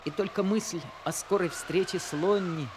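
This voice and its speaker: A man playing a feverish, exhausted young man who narrates his story in a radio play, earnest and quiet. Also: sits high, moderate pace, a little hiss or hum, close to an everyday voice. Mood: weary. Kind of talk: radio drama narration and dialogue.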